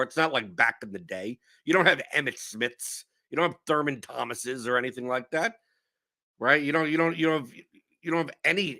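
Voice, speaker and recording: A young man, hoarse with a sore throat, hosting a casual fantasy sports livestream, rambling and cutting himself off. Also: mid-range voice. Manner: animated, rambling, self-interrupting. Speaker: host of a fantasy sports stream.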